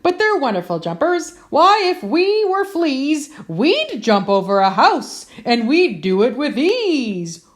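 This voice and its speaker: A woman's voice: high voice, big pitch swings, slow pace, hardly any pauses. A woman reading a children's picture book aloud in a theatrical, emphatic, playful way.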